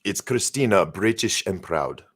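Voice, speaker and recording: A man's voice: deep voice, expressive, measured pace, fairly bright tone, breathy edge. A man in his fifties hosting a live stream, chatting casually and rambling as he reads out viewer comments. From San Francisco. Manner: rambling, chatty, stumbles over words. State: recovering from an injury. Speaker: host of a live stream show.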